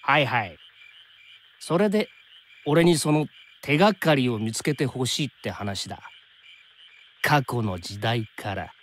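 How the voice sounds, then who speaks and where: An actor playing an easygoing young time traveler in a Japanese anime game, chatting in casual slang with an undercurrent of worry. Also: male voice, medium pitch, lively intonation, unhurried, pauses often, a bit bright. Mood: casual, knowing.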